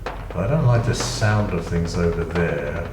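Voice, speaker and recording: A man's voice: low-pitched, animated, unhurried. A man with a British accent giving casual, rambling gameplay commentary with dry, self-mocking asides. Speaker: gaming channel host. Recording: echoey room, distant mic, noisy.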